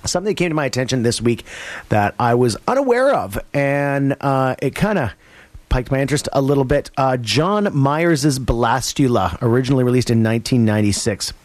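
A man in a radio studio, chatting through the last set of records in an unhurried, rambling manner. He fills the gaps with ums and uhs as he goes. The sound is dry and close, with no room around it. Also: mid-range voice, lively intonation, no echo. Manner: laid-back, rambling, many fillers. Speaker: college radio DJ. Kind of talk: casual radio show back-announcing.

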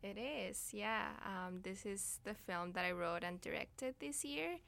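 Bright, creaky-voiced young woman, hesitant. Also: mid-range voice, measured pace. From Ecuador. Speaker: interview guest, student filmmaker. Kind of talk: casual interview answers. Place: radio studio.

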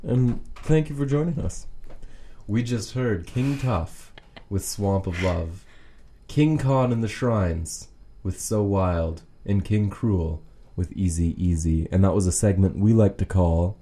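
A man with a deep, dark, mellow voice co-hosting a radio show. His delivery is casual, dry and understated, with some hesitation between phrases. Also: takes it slowly. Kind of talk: casual co-hosted radio chat. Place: radio studio.

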